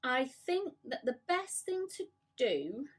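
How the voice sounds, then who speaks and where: A woman as an earnest, animated young boy. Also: sits high, slightly rough, pitched above the performer's own voice, full character voice. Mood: thoughtful. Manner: deliberate, emphatic.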